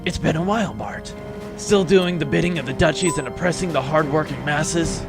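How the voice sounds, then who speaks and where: A man's voice: fairly high pitch, normal speed, loud music behind the voice, natural voice. A man playing a cocky, sardonic privateer commander, theatrical in delivery, in a comic sci-fi audio drama. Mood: taunting.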